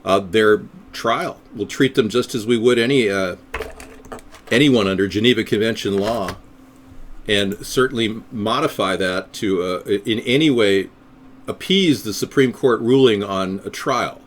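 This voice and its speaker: A man's voice: fairly low pitch, a little slow, a little hiss or hum. A middle-aged man from the United States rambling sardonically as he hosts his own live stream for viewers. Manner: rambling, sardonic, slips into silly voices. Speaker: third-party candidate hosting a live stream.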